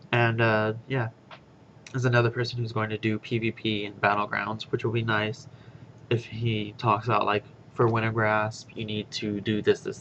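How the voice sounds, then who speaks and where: A man hosting a casual guild podcast announcement, speaking in a monotonous, rambling way with many fillers. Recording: dull lo-fi with faint rumble.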